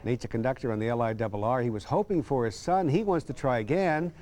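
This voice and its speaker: An American male anchor reads television news copy. His pitch is average for a man, and the delivery is scripted broadcast style. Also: fast talker, a little mellow.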